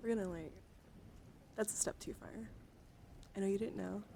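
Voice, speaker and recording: A young woman hosts a livestream in a gentle ASMR style. Her mid-pitched voice is breathy and often drops toward a whisper. She is close to the microphone, with clear noise in the recording. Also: normal speed. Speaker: livestream host. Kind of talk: ASMR, soft-spoken casual live chat and card reading.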